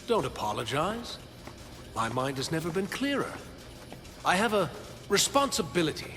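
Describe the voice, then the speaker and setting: An actor voicing a bitter, gloating, megalomaniac villain scientist in a video game, speaking in a theatrical, grandiose way. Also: male voice, on the high side, big pitch swings, normal speed. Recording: loud music behind the voice.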